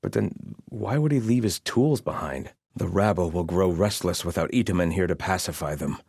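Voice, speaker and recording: Haughty soldier, wildly varying pitch. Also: male voice, animated, moderate pace, creaky, a little mellow, breathy edge, no echo, clean studio sound, heavily acted. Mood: grave, weary. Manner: clipped, contemptuous, military. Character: haughty, zealous male desert-kingdom soldier, scornful of outsiders. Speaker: video game voice actor. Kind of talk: game combat barks and ambient character lines.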